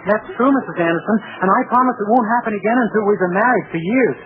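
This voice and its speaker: A man's voice: sits high, fast talker, a crowd in the background, lightly acted. An actor playing a naive, oblivious young man in his twenties in a 1940s radio comedy, guileless and earnest. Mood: sheepish. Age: 20s. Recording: tinny, heavily noisy 1940s radio.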